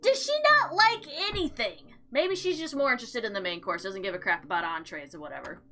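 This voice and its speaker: A woman hosting a let's play, reading game text aloud with expressive, slangy commentary and sarcastic asides. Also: mid-range voice, fairly quick. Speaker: gaming video host.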